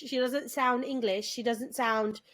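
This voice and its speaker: An American woman co-hosting a podcast, chatting casually, animated and quick to restart her sentences. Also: on the high side. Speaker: podcast co-host. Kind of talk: casual chat.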